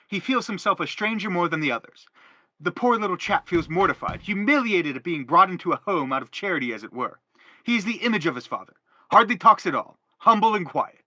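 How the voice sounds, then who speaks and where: A man's voice: high voice, sing-song, a little fast, sharp tone, no room sound, full character voice. A man playing a self-justifying middle-aged father in a stage play, philosophizing earnestly in a wordy, declamatory way. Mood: earnest explaining. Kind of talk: theatrical stage-play reading.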